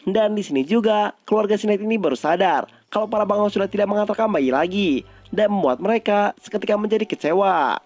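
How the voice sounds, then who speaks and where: A man's voice: high-pitched, quick pace, a little mellow, no echo. A man with an Indonesian accent narrating a story recap in a casual, colloquial storytelling style.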